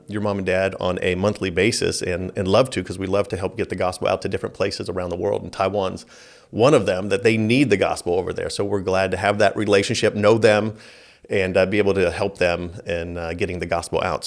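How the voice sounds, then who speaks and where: A man hosting a church podcast, talking in a loose, rambling way with many fillers and repeated words. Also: lowish voice. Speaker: podcast host. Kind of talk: casual interview chat.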